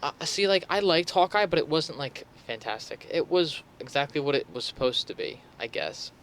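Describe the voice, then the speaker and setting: A man's voice: fairly high pitch, lively intonation, normal speed, a bit bright. Young male podcast co-host, easygoing and hedging; faint noise under the track. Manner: laid-back, hedging, swears casually. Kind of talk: casual chat.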